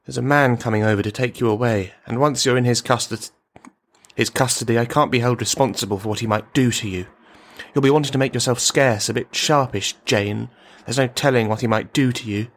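A man's voice: medium pitch, brisk, close to an everyday voice. A man performing a radio play monologue as a bitter, brittle, menacing army veteran, rambling and brooding as he addresses a silent listener. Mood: irritated, menacing.

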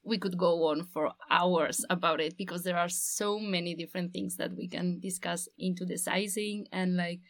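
A woman's voice: fairly low pitch, fairly even intonation, moderate pace, hardly any pauses, no room sound, clean studio sound. A young woman from the Basque Country, a design master's student, speaking fluently in long flowing sentences in a casual chat.

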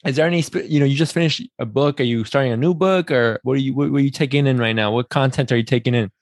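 A man's voice: mid-range voice, fast talker, dry recording, studio quality. A man hosting a casual podcast interview, chatty and playful, wandering through his questions. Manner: playful, joking, rambling questions.

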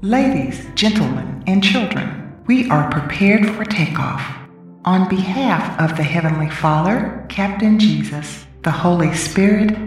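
A woman's voice: on the low side, very echoey, distant mic. A woman voicing a polite female flight attendant who makes formal boarding announcements in a radio drama.

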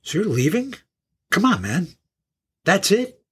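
A man's voice: high-pitched, very animated, a little slow, fairly bright tone, husky, full character voice. Man acting a baffled, wildly swooping young drummer. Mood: baffled, pleading. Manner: incredulous, pleading. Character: baffled, pleading young male rock drummer. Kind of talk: audio drama acting.

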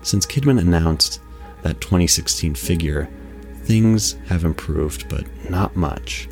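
A man with a remarkably low voice hosts a podcast. He reads articulate, formal film commentary over faint music. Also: a little mellow. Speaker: podcast host.

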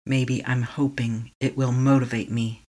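A meditation guide leading a tapping session, speaking slowly and calmly in short affirmations for the listener to repeat. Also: medium pitch. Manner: calm, slow, repeating affirmations to be echoed back.

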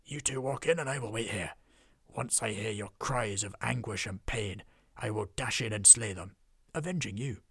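A man voicing a fierce, burly male dwarf troll slayer who speaks slowly and menacingly. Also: fairly low pitch, a bit bright, dry recording, studio quality, heavily acted. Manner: gruff, slow and menacing.

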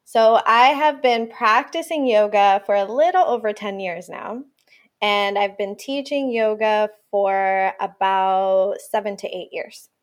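A woman's voice: medium pitch, unhurried. A woman who grew up in Puerto Rico, a native Spanish speaker, talking reflectively as a yoga teacher guest on a podcast. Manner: reflective, thoughtful, uses fillers like "you know". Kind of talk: podcast interview.

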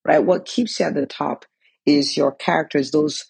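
A woman's voice: low voice, steady tone, normal speed, gravelly, darkish tone, breathy edge, dry recording. A woman in her forties giving an earnest motivational talk, full of "right?" and "like". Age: 40s. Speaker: coach for physicians in business.

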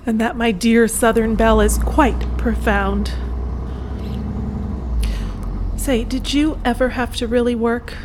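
An actress playing an aging bohemian painter, wry, blunt and regretful, in an audio play. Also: female voice, a little high, fairly even intonation, moderate pace, noisy background, close to an everyday voice. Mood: wry teasing. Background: bus or vehicle ambience.

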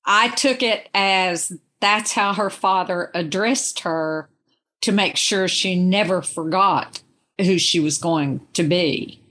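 A woman talking as a guest in a literary discussion, in a thoughtful, reflective and deliberate manner. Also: fairly low pitch, fairly bright tone.

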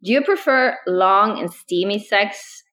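A woman's voice: medium pitch, a little slow. Northern Norwegian woman chatting casually. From Northern Norway. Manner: relaxed, laughs often, light hesitations. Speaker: podcast co-host. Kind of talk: casual chat.

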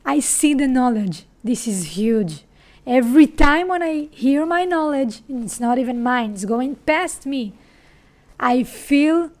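Israeli woman, high voice, podcast host, emphatic and spiritual monologue. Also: mellow. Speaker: sex coach and podcast host. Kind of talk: personal monologue.

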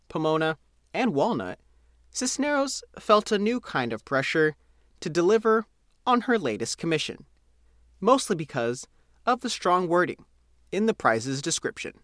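A man's voice: fairly high pitch, sing-song, moderate pace, fairly bright tone, dry recording, clean studio sound. A man reading a scripted local feature article as its reporter and narrator.

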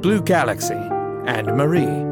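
A man's voice: medium pitch, sing-song, unhurried. A man reading scripted end credits as an announcer. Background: loud guitar music.

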